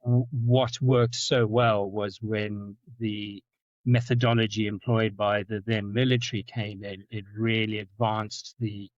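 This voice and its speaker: A man's voice: measured pace, dry recording. Man with a Zimbabwean-South African accent. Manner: repeats words, lively pitch jumps when telling anecdotes. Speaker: podcast guest. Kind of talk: storytelling in conversation. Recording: dull, dry lo-fi sound.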